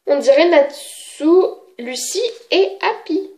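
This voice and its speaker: A woman's voice: high voice, animated, slow pace, warm tone, slight background noise, poor audio. A woman with a cold chatting casually as she shows off her manga books, hesitant and full of fillers and self-corrections. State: has a cold. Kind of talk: casual show-and-tell.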